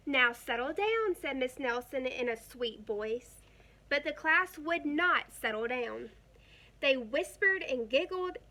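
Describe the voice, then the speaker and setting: A woman reads a children's story aloud at an unhurried pace. Her voice is high and bright, and she tells it expressively. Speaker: storybook reader.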